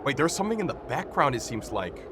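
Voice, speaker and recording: Expressive man doing casual reaction commentary. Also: medium pitch, fast talker, noisy background. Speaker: reaction streamer. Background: audio of the watched horror video.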